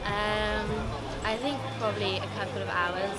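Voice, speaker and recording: A woman's voice: medium pitch, steady tone, moderate pace, low-quality recording. A young woman giving casual answers in a street interview. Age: young adult. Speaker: vox pop interviewee. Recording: loud music and crowd, outdoor interview. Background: loud music, crowd.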